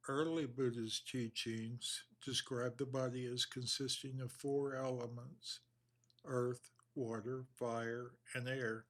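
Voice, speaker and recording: A man guides a body scan meditation at a very slow pace. His mid-pitched voice stays level with little melodic movement. He gives each instruction in a measured, soothing way and leaves long pauses between phrases. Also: flat delivery.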